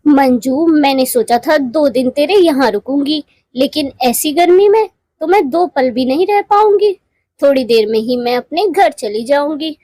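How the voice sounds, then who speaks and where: A woman's voice: high-pitched, lively intonation, a little slow, mellow, no room sound. A woman voicing a boastful, condescending rich elder-sister bird in a moral cartoon. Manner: condescending, showy. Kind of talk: Hindi moral cartoon voice acting.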